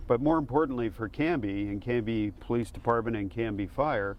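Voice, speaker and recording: A man speaking as a fire official, explaining matters in a halting, matter-of-fact way during an interview. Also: medium pitch, highs cut off.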